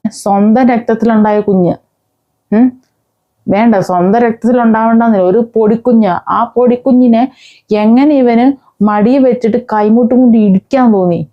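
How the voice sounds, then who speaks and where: A woman vlogger, giving angry, scolding commentary with many pauses and restarts. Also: fairly high pitch, muffled recording. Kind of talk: angry commentary on a news case.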